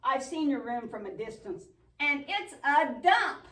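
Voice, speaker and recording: A woman's voice: high-pitched, sing-song, a little slow, fairly bright tone, full character voice. A woman playing an earnest, patient but bossy young puppet friend in a church show, explaining and chiding. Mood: teasing. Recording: echoey room, distant mic.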